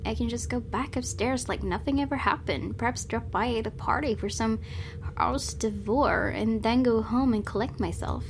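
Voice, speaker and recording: A woman's voice: a little high, moderate pace, slight background noise. A woman hosting a let's play, reading a visual novel's narration aloud with drama.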